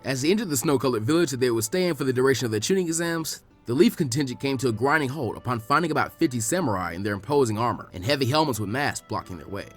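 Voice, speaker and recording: A man's voice: mid-range voice, animated, racing, hardly any pauses, faint music behind. A man reading scripted fan fiction aloud as its narrator.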